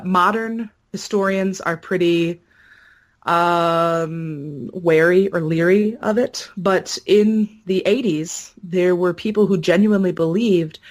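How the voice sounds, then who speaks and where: A woman giving an informal, conversational explanation, telling historical accounts as a podcast guest. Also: on the low side.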